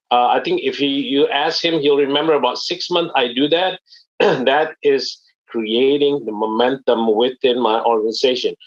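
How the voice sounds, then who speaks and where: Earnest man giving a muffled webinar talk. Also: mid-range voice. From Indonesia. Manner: earnest, explanatory, with frequent fillers. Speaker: network marketing presenter.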